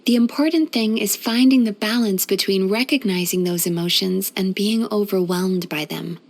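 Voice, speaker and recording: A woman playing a gentle, caring female psychologist in a video game, speaking in a soothing, thoughtful, measured and softly playful manner. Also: mid-range voice, lightly acted. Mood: grateful, earnest. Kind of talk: scripted video game character voice lines.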